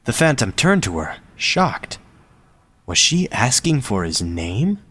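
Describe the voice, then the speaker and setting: A man's voice: mid-range voice, very animated, slow, fairly bright tone, breathy edge. A man reading scripted story narration as the narrator of an audio drama.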